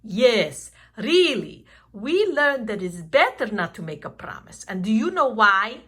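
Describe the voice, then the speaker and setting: A woman voicing a kindly, excitable uncle puppet in a children's show, exclaiming and teaching slowly. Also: a little high, slightly rough, heavily acted. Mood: thoughtful. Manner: exclaiming, slow, instructive.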